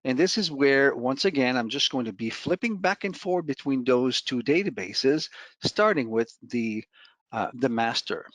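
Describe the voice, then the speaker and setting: A man presenting a software demo in an informal, conversational way, explaining each step as he goes over a screen share. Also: medium pitch, animated, a little slow, dry recording.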